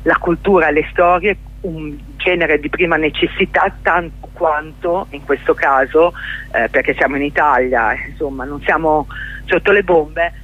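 A dull telephone line carries the husky voice of a middle-aged woman. She is a guest on a radio interview, talking through her own work in a conversational way. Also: sits low, moderate pace. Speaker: interview guest, journalist and stage performer.

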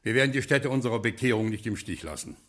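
An actor playing a reformed American gangster turned gentleman, giving grand, commanding orders in a 1950s German radio drama. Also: medium pitch, fast talker, lightly acted. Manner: commanding, grandiose, brooks no objection. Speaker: castle owner giving orders.

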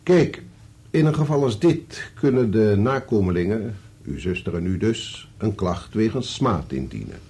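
An actor playing a measured senior lawyer in a radio play, formal and deliberate as he explains. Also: on the low side, big pitch swings, warm tone. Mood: measured, authoritative. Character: measured male senior lawyer giving sober legal advice.

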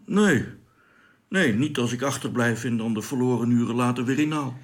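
An actor playing a weary, sarcastic sewage worker who grumbles and mutters to himself in a radio drama. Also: male voice, mid-range voice, moderate pace, natural voice. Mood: weary, grumbling. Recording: long cavernous reverb, clear background noise.

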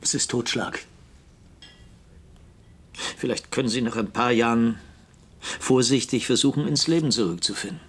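A man's voice: medium pitch, normal speed, long pauses, husky, close to an everyday voice. An actor in a German radio drama playing a burdened middle-aged politician, an earnest, insistent and controlling father. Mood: grave.

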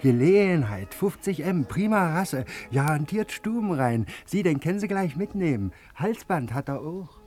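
An actor playing a pushy street dog seller in a radio play, with a hasty, hawking manner. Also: male voice, on the high side, big pitch swings, normal speed, few pauses, a little mellow, faint music behind. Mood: wheedling.

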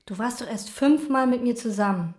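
Woman playing an obsessive chambermaid, radio play, slightly high voice, quiet probing questions, roomy echo. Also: normal speed, natural voice. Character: brooding, obsessive young female chambermaid. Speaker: hotel chambermaid and narrator. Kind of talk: radio play narration and dialogue.

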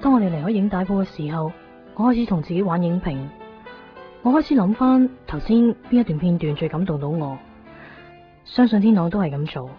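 A woman's voice: lowish voice, animated, brisk, lightly acted. An actress playing a yearning, self-doubting young woman with a Hong Kong Cantonese accent in a radio drama. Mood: tender. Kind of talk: radio drama inner monologue. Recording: dull 1990s radio recording. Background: faint music.

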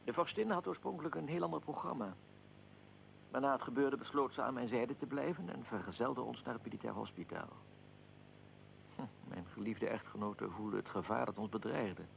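A weary imperial archduke with a heavily creaking, gravelly voice. He speaks in formal, measured sentences with dignified restraint, as in a radio play, over a faint hiss and rumble. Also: low-quality recording. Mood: measured recounting. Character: Austrian archduke, aristocratic, weary, recounting his own assassination. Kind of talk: radio drama acting.

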